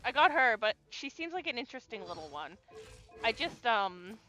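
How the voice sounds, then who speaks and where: A woman's voice: fairly high pitch, sing-song, normal speed, bright, forceful tone. A young adult woman streaming a game, chatting casually and rambling, swearing freely as she goes. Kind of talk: casual gaming chat.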